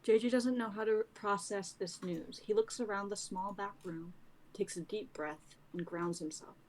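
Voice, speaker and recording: A woman's voice: medium pitch, moderate pace, darkish tone, poor audio. A young woman narrating stage directions from a drama script. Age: young adult.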